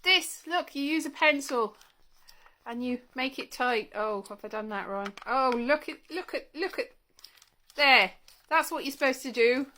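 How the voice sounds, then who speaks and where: A woman with a high-pitched, bright voice speaks in an informal vlog monologue. She is chatty and wry, wandering from one thought to the next and cutting herself off as she goes. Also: measured pace, slight background noise. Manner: chatty, wry, self-interrupting. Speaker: vlogger showing old interview tapes. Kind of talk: casual rambling monologue to camera.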